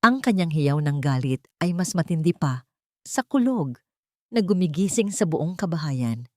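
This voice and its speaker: Female audiobook narrator, somewhat low pitch, solemn, dramatic scripted storytelling, deliberate phrasing, dry sound. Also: sing-song, warm tone, no room sound.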